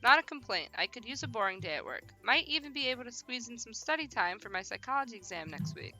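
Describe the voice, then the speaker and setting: Female game streamer, high and very bright voice, animated slangy chatter and read-aloud text, music underneath. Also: quick pace. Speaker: host of a visual novel livestream. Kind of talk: casual livestream chat and reading game text aloud.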